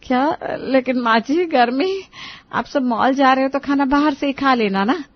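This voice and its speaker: A woman's voice: high voice, moderate pace, no echo, lo-fi sound, close to an everyday voice. A woman playing a long-suffering, overworked middle-aged mother-in-law in a cartoon moral story, plaintive and exclamatory. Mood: dismayed.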